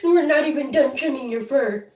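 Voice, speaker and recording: A woman with a head cold who keeps clearing her throat, chatting casually on a home livestream while caring for her guinea pigs and talking fondly to them. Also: sits high, moderate pace, a little mellow, reverberant, far from the mic. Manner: chatty, talks to her pets affectionately. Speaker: guinea pig channel host. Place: room at home, weighing pets on a counter.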